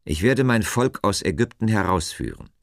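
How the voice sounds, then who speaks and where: A man's voice: fairly low pitch, lively intonation, moderate pace, vocal fry, no echo, studio quality, voice pushed up. Man voicing a commanding, emphatic God. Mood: solemn, resolute. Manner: solemn, emphatic, declarative. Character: God, commanding, stern, patient male deity.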